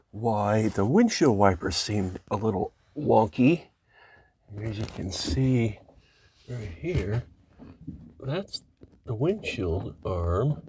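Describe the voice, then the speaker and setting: A man's voice: lowish voice, a little mellow, slightly rough, dry recording. A man hosting a car hobby video, narrating his hands-on work casually, musing aloud and easily amazed. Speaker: host of a car hobby channel.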